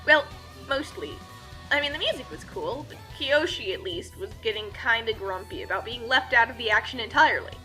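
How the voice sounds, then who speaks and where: Female narrator for a comic adventure, bright and high-voiced, tongue-in-cheek and casual. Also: poor audio. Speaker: storyteller. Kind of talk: animated comedic scripted narration.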